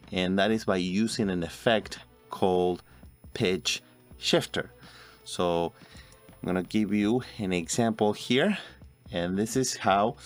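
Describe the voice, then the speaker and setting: A man in his forties narrating a video editing tutorial step by step as host. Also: lowish voice. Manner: step-by-step, instructional. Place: indoor room at a computer. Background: music.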